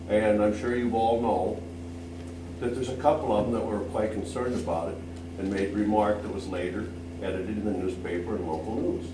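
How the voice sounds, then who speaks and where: An American man speaking as a resident at a council meeting, giving an informal personal account. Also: on the low side. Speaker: resident speaking at public comment. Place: indoor council meeting room. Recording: echoey meeting room, distant mic, noisy ambience.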